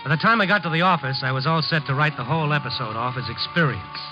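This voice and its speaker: A man's voice: a little high, fast talker, lightly acted. A man playing a wry, weary newspaper columnist in a 1950s radio drama. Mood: wry, rueful. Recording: dull 1950s radio broadcast, music behind.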